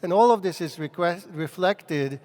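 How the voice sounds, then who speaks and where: A man's voice: sits high, normal speed, a little mellow. A man from Hungary, giving a public lecture in English and often restarting his phrases as he thinks. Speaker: guest lecturer. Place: large lecture hall. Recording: long reverb of a large hall.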